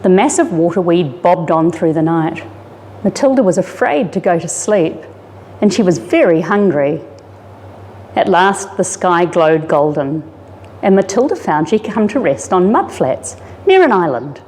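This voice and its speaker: A woman reads a children's picture book aloud in a dramatic, animated storytelling manner. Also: on the low side, unhurried. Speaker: story reader, disaster resilience officer. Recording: reverberant hall-like room.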